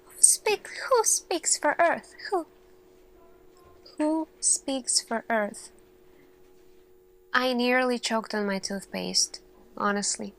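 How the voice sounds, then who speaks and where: A woman playing an earnest, thoughtful lead scientist in an ASMR roleplay, speaking in a hushed, deliberate way with pauses and searching questions. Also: on the high side, expressive, vocal fry, heavily acted, rough recording. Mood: wondering, wry.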